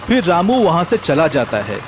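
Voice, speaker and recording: A man's voice: a little high, very animated, normal speed, noisy background. A man reading scripted storybook narration as the narrator of a tale.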